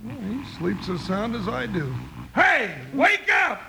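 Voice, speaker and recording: A very high-pitched, gravelly cowboy sidekick, big, blustery and folksy. He talks in American frontier slang, in the manner of an old radio western, on a faintly hissy vintage recording. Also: very animated, takes it slowly, pushed and bright, exaggerated voice, rough recording. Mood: exasperated. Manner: folksy drawling frontier talk, impatient exclamations.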